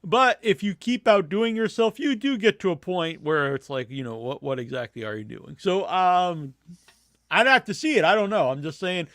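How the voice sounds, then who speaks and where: A man with a slightly high voice hosts a casual horror podcast. He speaks haltingly and wanders as he goes, often letting sentences trail away. The sound is dry, with little room in it. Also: expressive, fairly bright tone, dry recording.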